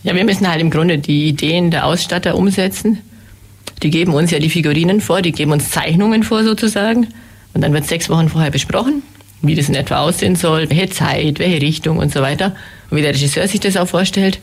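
A woman with a husky, gravelly voice, a little hoarse from a cold. She explains her theater makeup work plainly and practically in a relaxed interview. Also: low-pitched, fairly quick. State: has a cold, slightly hoarse. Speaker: head of women's makeup department at a theater. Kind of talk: casual interview talk.

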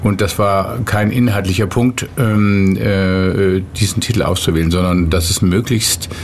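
Male radio guest, lower register, hesitant conversational delivery, light background noise. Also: fairly even intonation, a little slow, gravelly. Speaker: theatre director, guest. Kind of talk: casual radio discussion.

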